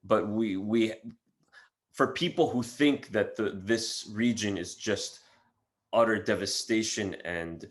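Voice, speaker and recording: A man from Syria hosts a podcast conversation and thinks aloud in halting, reflective phrases that he often restarts. His voice sits a little low. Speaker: podcast host. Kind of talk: podcast interview.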